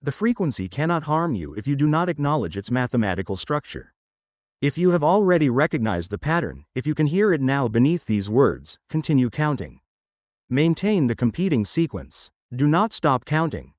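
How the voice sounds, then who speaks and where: A man playing a solemn emergency broadcast announcer, reading an eerie official advisory in a formal, measured, detached way for an analog horror drama. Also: mid-range voice, very animated, dry recording, clean studio sound, natural voice. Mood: ominous, controlled. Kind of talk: scripted analog horror public advisory narration.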